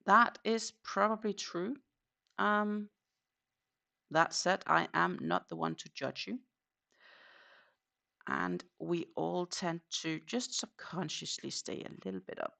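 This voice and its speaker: A woman with a Danish accent talks through a casual live stream, counting stitches out loud and replying to viewers. Her voice is low and heavily gravelly with fry, yet bright and sharp in tone. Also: lively intonation, slow, long pauses. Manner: chatty, counts stitches aloud, answers viewers.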